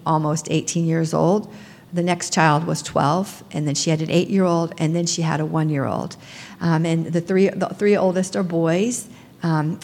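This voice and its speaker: A woman's voice: fairly low pitch, a little mellow. A woman who runs a nonprofit, talking earnestly to a gathering, with many ums and restarts. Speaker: nonprofit director. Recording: long hall reverb.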